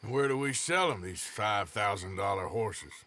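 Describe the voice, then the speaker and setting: An actor playing a gruff, wry, weary American outlaw of the Old West, dry and blunt in a game cutscene. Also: male voice, fairly low pitch, lively intonation, normal speed, pushed and bright, a little hiss or hum. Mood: dry, sceptical. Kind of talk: dramatic game cutscene acting.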